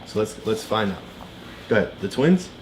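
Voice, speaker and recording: A man tutoring a child, casually explaining a storybook in simple, halting steps. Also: on the low side, sing-song, slight background noise, low-quality recording.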